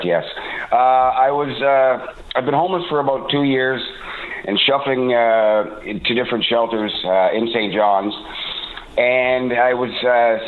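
Man in his sixties, radio caller, tinny phone line, hesitant and halting, slightly stuffy. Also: medium pitch. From Toronto, Canada. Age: 60s. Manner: halting, many fillers and restarts. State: slightly congested from a cold. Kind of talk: call-in radio talk.

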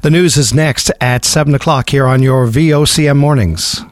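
A man's voice: medium pitch, normal speed, noisy background. Morning radio host, man, friendly chatty interviewer, mid-range voice.

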